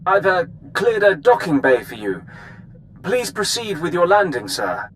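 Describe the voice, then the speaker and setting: A man's voice: sits high, big pitch swings, a little slow, a bit bright, a little hiss or hum, full character voice, poor audio. An actor voicing an officious male Imperial station officer in a video game, formal and uneasy. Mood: flustered. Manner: clipped and formal, turning flustered and fawning. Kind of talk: dramatic video game dialogue.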